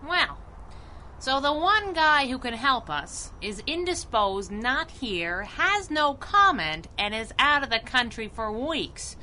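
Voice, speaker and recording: An actress playing a plucky, wisecracking young crime-fighting sidekick in 1930s-style radio drama, with a wry, expressive delivery. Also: female voice, high voice, slow pace, a little hiss or hum, heavily acted. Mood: sardonic.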